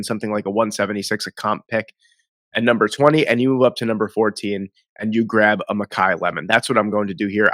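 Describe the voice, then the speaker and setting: A man's voice: medium pitch, fairly bright tone, no echo. A man, nasal and sniffly from a cold, rambling through casual football draft commentary as the host. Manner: rambling, self-correcting, conversational.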